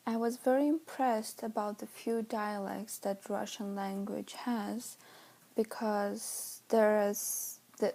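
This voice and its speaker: A woman with a Romanian accent, a native Romanian speaker, giving a halting class presentation as a student and often searching for words. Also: medium pitch, darkish tone.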